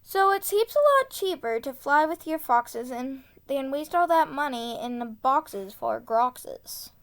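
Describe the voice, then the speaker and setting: A woman reading a children's tongue-twister book aloud, enunciating carefully and deliberately. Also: high-pitched, animated. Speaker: storybook reader.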